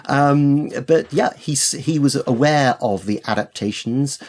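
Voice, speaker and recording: A man's voice: mid-range voice, unhurried, darkish tone. A man speaking as an expert interview guest, explaining things enthusiastically with hesitations and emphatic repetitions. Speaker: literary expert guest, author and editor. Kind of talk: conversational interview.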